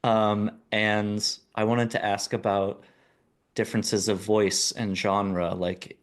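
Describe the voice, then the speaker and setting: A man with a low voice co-hosts a casual podcast. He thinks out loud and works his way slowly toward long, open questions for his guests. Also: level tone. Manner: musing, asks long questions. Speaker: co-host.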